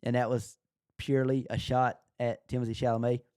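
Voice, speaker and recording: A man's voice: medium pitch, little pitch movement, brisk, warm tone, clear, resonant tone, no echo. Man, dry close recording. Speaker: podcast co-host. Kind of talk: casual film chat.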